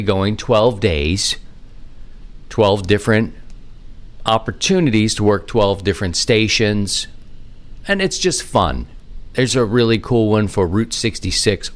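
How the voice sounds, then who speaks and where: A middle-aged man with a fairly low voice hosts a podcast on his own. He talks casually and conversationally, wandering from one thought to the next with animated asides. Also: measured pace. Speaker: amateur radio podcast host. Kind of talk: casual solo podcast monologue.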